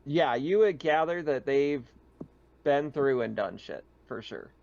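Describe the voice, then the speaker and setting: Gravelly-voiced man running a game. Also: mid-range voice, animated, darkish tone, dry recording, dull audio. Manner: halting, improvised descriptions. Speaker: game master. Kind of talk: casual tabletop game narration.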